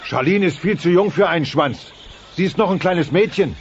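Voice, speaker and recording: Creaky, blustering dinosaur dad. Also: male voice, high voice, moderate pace. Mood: defensive. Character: gruff, blustering middle-aged dinosaur family father. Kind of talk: German children's audio drama acting. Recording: dull, slightly noisy cassette transfer.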